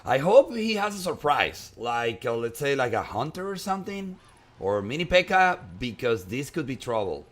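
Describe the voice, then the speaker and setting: A male esports caster speaks with a strong Japanese accent. His pitch moves around a great deal as he chats and calls the game, often repeating phrases for emphasis. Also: normal speed. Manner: animated, chatty, emphatic repetition. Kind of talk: excitable live game commentary and banter.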